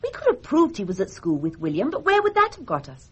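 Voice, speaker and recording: A woman's voice: on the high side, wildly swooping pitch, fast talker, husky, exaggerated voice. An actress playing a brash, outspoken, irreverent woman in a radio drama. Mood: sardonic. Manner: exclamatory, cheeky. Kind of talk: BBC radio drama acting.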